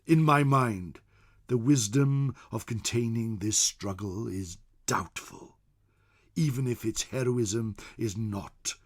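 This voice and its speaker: A man voicing a venerable old scholar in solemn, theatrical fantasy narration, with a husky voice that swells and drops away. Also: mid-range voice, takes it slowly. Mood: solemn, proud. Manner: theatrical, solemn, swelling then dropping to whispers. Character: venerable old male scholar chronicler, grave, fearful, awed by ancient lore. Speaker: storyteller. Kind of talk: dramatic in-character fantasy narration.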